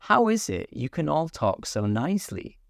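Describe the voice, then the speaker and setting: Man playing a curious little girl, polite, raised pitch, gentle storybook voice. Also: very animated, moderate pace, creaky, no room sound, studio quality. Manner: polite, earnest.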